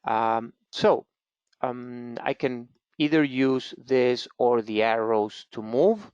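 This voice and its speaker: A middle-aged man with a Venezuelan Spanish accent presenting a live webinar demo, unhurried and chatty, with lots of fillers. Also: mid-range voice, darkish tone, clean tone, no echo. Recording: slightly dull lo-fi webinar audio.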